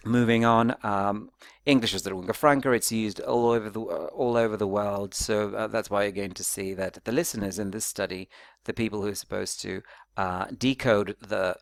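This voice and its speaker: Guy doing a chatty academic slide talk, lowish voice, dry sound, explains stuff like a teacher. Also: fairly even intonation, moderate pace, creaky, no room sound. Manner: conversational, didactic, checks in with rhetorical questions and tags. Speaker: academic presenter, phonetics researcher.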